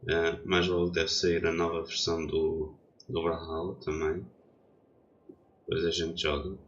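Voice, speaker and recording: A man's voice: low voice, little pitch movement, measured pace, highs cut off, background noise. A young man, stuffy from a cold, chatting hesitantly while setting up a gaming livestream. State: congested from a cold. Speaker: streamer. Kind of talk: casual gaming livestream chat.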